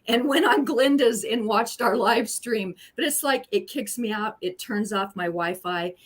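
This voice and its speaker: A woman joining a live-stream chat, talking in an animated, chatty, self-deprecating way. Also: fairly high pitch, unhurried.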